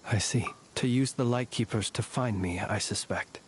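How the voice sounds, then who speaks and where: A man playing a courtly, composed warrior in a video game, speaking formally in archaic, eloquent and measured phrases with a wry stoicism. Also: medium pitch, slight background noise, lightly acted. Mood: calm, thoughtful. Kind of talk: scripted video game character dialogue. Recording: game audio with background music.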